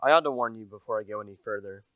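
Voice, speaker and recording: A man's voice: lowish voice, racing, clean tone, dry recording, phone-quality sound, close to an everyday voice. A young man acting a humble, chatty small-time salesman who narrates a radio play in a plain, confiding manner. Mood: confiding, grave. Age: young adult. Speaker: narrator and lead character.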